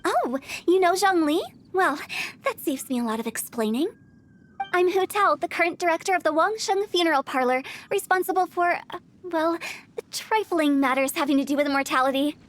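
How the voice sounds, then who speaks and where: Very high, playful young undertaker. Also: female voice, moderate pace, a little hiss or hum. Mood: cheerful, boastful. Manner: breezy, playful sales patter. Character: quirky cheerful young woman, funeral parlor director, mischievously morbid. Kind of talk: animated video game voice acting.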